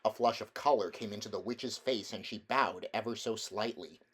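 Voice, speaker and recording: A man's voice: fairly low pitch, normal speed, fairly bright tone, slightly rough. A man reading a children's fantasy novel aloud as scripted storybook narration. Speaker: amateur audiobook reader.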